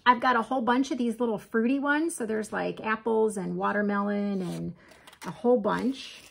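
A woman in her forties shows off her handmade crafts in a chatty, upbeat way and keeps calling her viewers "you guys." She speaks slowly, and her voice has a gravelly fry. A faint hiss sits under the home kitchen recording. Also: medium pitch. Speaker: crochet vlogger. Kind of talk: casual show-and-tell chat.

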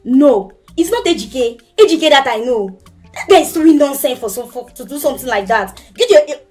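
Woman as a high, animated Pidgin-speaking gossip, blunt, faint music. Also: brisk. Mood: indignant. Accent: Nigerian Pidgin. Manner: blunt, dramatic. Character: gossipy hot-tempered young woman friend.

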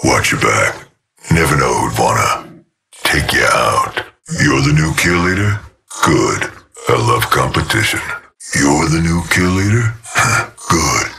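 A menacing game killer robot, full of scorn. The growl is extremely low and very rough, with a sharp edge. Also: male voice, expressive, slow pace, long pauses, exaggerated voice. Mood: scornful menace. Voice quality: gravelly growl. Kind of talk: video game voice lines.

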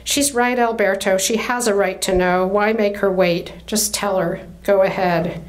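A woman reads the part of a practical mother from a literary novel. She is firm and imploring, speaking in brisk appeals with a mid-pitched voice. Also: steady tone, lightly acted, poor audio. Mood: firm, urging. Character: firm, practical mother interceding in family quarrel.

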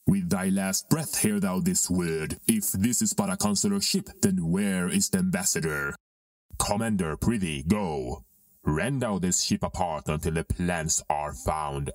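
A menacing, commanding dark lord in a Shakespearean audio drama. His voice is extremely low, swings dramatically in pitch and takes its time over each archaic line, set a little back from the mic. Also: very animated, dry recording, clean studio sound, far from the mic. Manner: slow, declamatory, archaic verse delivery.

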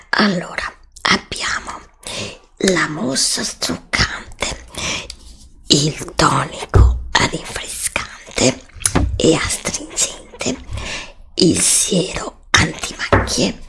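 A woman, softly whispering a skincare review for ASMR close to a desk mic. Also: mid-range voice, creaky. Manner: soft whispering, slow and hesitant. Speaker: ASMR creator. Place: home studio with green screen. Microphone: studio desk mic, close.

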